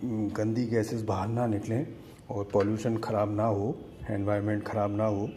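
A man's voice: fairly low pitch, level tone, normal speed, warm tone, slight background noise. A man talking casually and conversationally, explaining something hands-on as a vlogger filming on a phone at home. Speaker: motorcycle vlogger. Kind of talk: casual product comparison vlog. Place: at home by marble staircase.

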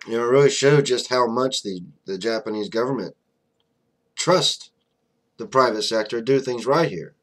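A man's voice: mid-range voice, normal speed. A young man giving casual, blunt political commentary to a desk webcam in a small room, full of fillers. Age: young adult. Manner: blunt, conversational, many fillers like "you know". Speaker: vlogger. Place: small room indoors, flag on wall behind.

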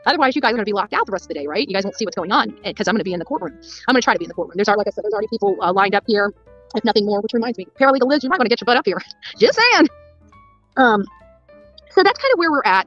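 Fast, bright-voiced middle-aged woman livestreaming. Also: mid-range voice, expressive, dull audio, soft background music. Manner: chatty, scattered, repeats words. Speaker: livestream host covering a court case. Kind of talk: casual livestream chat. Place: outdoors outside a courthouse at first, later a hotel room.

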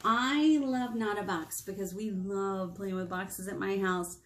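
A woman in her thirties, a children's librarian, reading picture books aloud in a lively, sing-song way with whispers, recorded close on a webcam in a small room. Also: medium pitch, unhurried. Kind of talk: storytime read-aloud for children.

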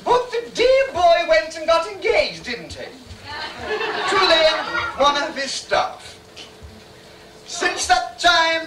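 A performer putting on a grand, bawdy pantomime hostess, addressing a live audience in an arch, drawn-out and saucy way. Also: very high-pitched, heavily acted. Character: grand, bawdy theatrical hostess in a cabaret spoof. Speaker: master of ceremonies introducing the cast. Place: theatre bar stage before a live audience. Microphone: distant camera microphone. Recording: echoey noisy bar, distant mic, rowdy crowd.

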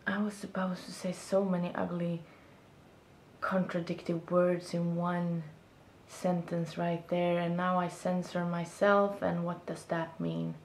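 A young Swedish woman talks slowly and thoughtfully to the camera from a small room, her English carrying a clear Swedish accent. She often pauses to find the right word. Her voice sits a little low. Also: little pitch movement, darkish tone, roomy sound, a little hiss or hum. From Sweden. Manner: thoughtful, searching for words, gestures while talking. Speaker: vlogger. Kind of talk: reflective casual monologue to camera. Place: small room indoors, seated on a bed.

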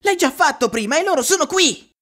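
Cocky cartoon boy trainer, woman performer: bright, very animated, exclamatory delivery. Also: high voice, brisk. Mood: impatient, indignant. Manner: exclamatory, dramatic. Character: excitable young boy trainer, cocky and naive. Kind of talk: Italian fan-dub cartoon acting. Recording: music under the voice.

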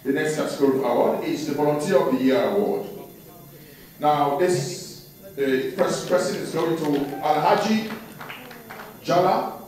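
A man's voice: fairly high pitch, measured pace, pauses often, a little mellow, recorded from a distance. A man co-hosting an awards night, formally announcing categories and recipients in a function room. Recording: very echoey room, off the PA.

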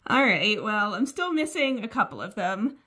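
A woman's voice: medium pitch, very animated, moderate pace, sharp tone, soft background music. A young woman vlogging about jigsaw puzzles in a chatty, upbeat way, laughing often, still a little sniffly from a cold. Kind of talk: casual chat to camera. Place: indoor studio with shelves.

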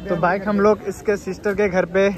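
A man's voice: sits high, little pitch movement, moderate pace. A man casually vlogging on a handheld phone, pointing things out to viewers at a packed cricket stadium at night. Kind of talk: casual vlog narration. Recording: loud crowd noise behind. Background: loud stadium crowd.

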